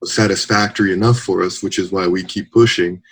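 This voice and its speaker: A man in his late twenties talks openly as an interview guest, thinking out loud and laughing lightly between points. His voice sits a little low. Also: fairly even intonation, a little fast, slightly rough. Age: 20s. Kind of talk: casual interview chat.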